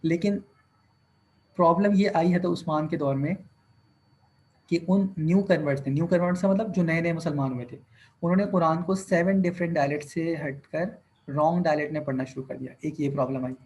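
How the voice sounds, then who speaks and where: Higher-voiced male teacher dictating notes. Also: a little mellow, dull audio. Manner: mixes English dictation with Hindi-Urdu explanation, slow and deliberate when dictating. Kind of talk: classroom lecture, dictating notes. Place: classroom.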